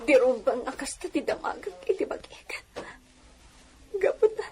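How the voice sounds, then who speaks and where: A woman's voice: high voice, animated, normal speed, whispered. A woman playing a long-suffering village wife, lamenting plaintively in a melodramatic Ilocano radio drama. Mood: tearful lament.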